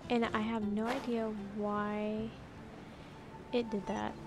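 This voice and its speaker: A woman giving casual, halting, exasperated commentary as host of a gaming video. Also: mid-range voice, level tone. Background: video game music.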